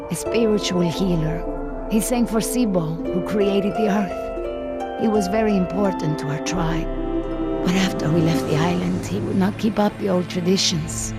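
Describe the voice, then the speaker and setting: Over loud music, a proud island woman with a fairly low voice and a Latin American Spanish accent. She speaks earnestly and deliberately, wistful and guarded, in a dramatic game cutscene. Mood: sad, resentful.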